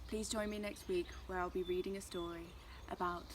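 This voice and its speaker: A woman's voice: fairly low pitch, flat delivery, normal speed, background noise. A woman narrating a scripted children's story as a storyteller.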